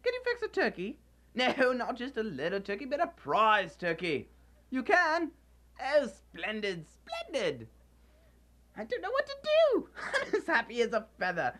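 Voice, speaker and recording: A woman playing an old male miser reborn as a giddy, generous soul in a radio drama, exclaiming and repeating phrases excitedly. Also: a little high, unhurried, full character voice. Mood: jubilant, excited.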